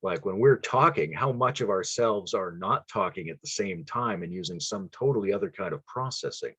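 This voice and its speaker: A man's voice: sits low, animated, moderate pace, bright, forceful tone, slightly rough, dry recording. A man talking as a guest on a podcast, spinning long theoretical ideas in a loose, musing way, chuckling now and then. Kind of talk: casual conversation.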